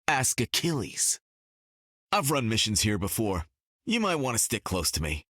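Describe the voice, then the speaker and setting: Wisecracking superhero archer, swooping intonation. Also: male voice, on the low side, huge pitch swings, moderate pace, pushed and bright, no room sound, studio quality, exaggerated voice. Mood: cocky. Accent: American. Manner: wry, casual American banter. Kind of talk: video game dialogue.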